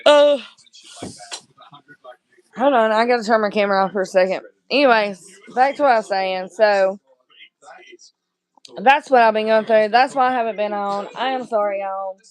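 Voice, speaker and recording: A woman livestreaming casual chat to her viewers, hoarse from screaming, rambling and apologetic. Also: mid-range voice, moderate pace, long pauses, poor audio.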